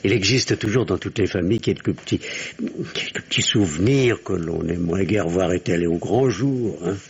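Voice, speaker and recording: A man's voice: deep voice, normal speed, slightly rough, heavily acted. An actor playing an anxious, evasive middle-aged family doctor and father in an old-style radio drama, hesitant and theatrical. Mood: agitated, reassuring. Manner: hesitant, theatrical, repeats phrases reassuringly. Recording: muffled old radio recording.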